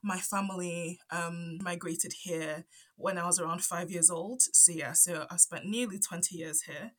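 A woman's voice: on the low side, fairly even intonation, measured pace, a bit bright. A woman in her twenties from Zambia with a stutter, answering interview questions hesitantly with many fillers and restarts. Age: 20s. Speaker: guest, anthropology PhD student.

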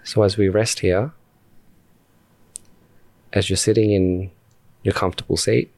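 A man leading a guided meditation as a podcast host, speaking calmly and deliberately in short phrases with long pauses. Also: lowish voice, no echo.

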